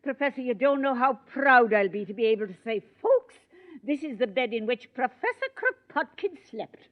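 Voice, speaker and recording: Gushing older landlady, swooping high voice. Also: big pitch swings, moderate pace. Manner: gushing, theatrical. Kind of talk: 1940s radio comedy acting. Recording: muffled 1940s radio, studio audience.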